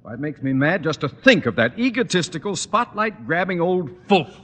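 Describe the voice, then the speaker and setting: A man's voice: a little high, very animated, normal speed, a bit bright. Pompous, blustery middle-aged man. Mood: pompous, irritable. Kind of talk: 1940s-50s radio comedy acting. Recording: old radio recording, reverberant, faint noise.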